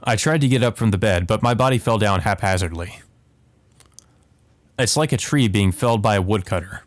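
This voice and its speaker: A man's voice: lowish voice, normal speed, no echo. A man giving casual commentary and reading visual novel text aloud as a let's play host, with game music behind him. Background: video game music.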